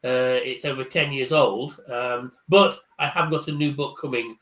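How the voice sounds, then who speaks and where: A man who runs a firm and coaches careers, speaking haltingly with many fillers on a live panel. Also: medium pitch, steady tone, sharp tone. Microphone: video call. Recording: echoey room.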